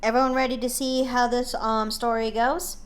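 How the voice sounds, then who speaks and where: A woman streamer talking casually with her chat and narrating a fanfic, often halting with fillers and restarts. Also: a little high, level tone.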